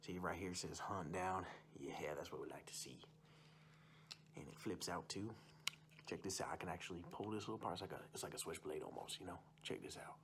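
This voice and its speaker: Young man doing a low-voiced folksy wild uncle. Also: fairly quick, noisy background. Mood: boastful, enthusiastic. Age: young adult. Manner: folksy, rambling, repeats stock phrases. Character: wild rustic uncle, boastful hunting and fishing enthusiast. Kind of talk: ASMR roleplay. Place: small room indoors.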